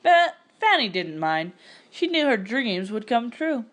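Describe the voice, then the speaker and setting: A woman narrating a picture-book story aloud in an expressive, scripted storytelling style. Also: on the high side, unhurried.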